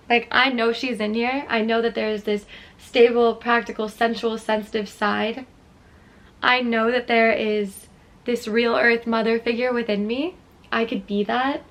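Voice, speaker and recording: A woman's voice: fairly high pitch, fairly bright tone. A young woman with a stuffy, sniffly nose, talking candidly and reflectively to her camera in a casual vlog. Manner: candid, self-questioning, trailing off. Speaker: vlogger.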